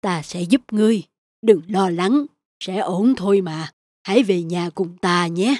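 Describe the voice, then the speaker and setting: A woman voicing a gentle old woodcutter in a children's fairy tale, softly and compassionately. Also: fairly low pitch, unhurried, voice pushed down, close to an everyday voice. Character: kind, gentle old woodcutter, a poor man. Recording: music behind the voice.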